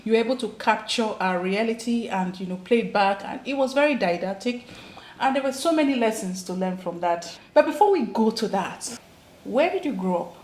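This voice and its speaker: A woman's voice: on the high side, lively intonation, brisk, roomy sound. Nigerian woman hosting an interview show. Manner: warm-up intros, teasing follow-ups. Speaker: talk show host.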